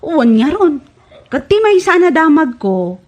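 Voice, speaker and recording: An actress plays a bossy village woman in an Ilocano radio comedy. Her high voice moves animatedly up and down, teasing and questioning in an Ilocano accent. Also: sing-song, a little slow, a little mellow, highs cut off.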